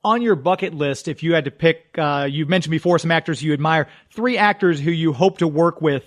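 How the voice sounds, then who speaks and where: A man with a slightly high voice hosts a radio show. He interviews guests in a conversational style, asking questions and introducing segments. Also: fairly quick.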